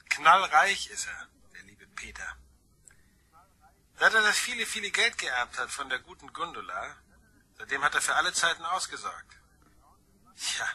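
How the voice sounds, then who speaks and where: A sly blackmailer in a crime radio drama. The voice is high, piercingly bright and rough-edged, teasing and insinuating with mock sweetness. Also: male voice, exaggerated voice. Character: sly, taunting anonymous blackmailing male caller.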